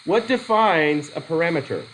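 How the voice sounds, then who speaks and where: A middle-aged man with a cold teaching a psychology methods class, halting and restarting as he questions students in a whiteboard classroom. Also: a little high, expressive, fairly bright tone, slight background noise, poor audio. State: has a cold. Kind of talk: classroom lecture.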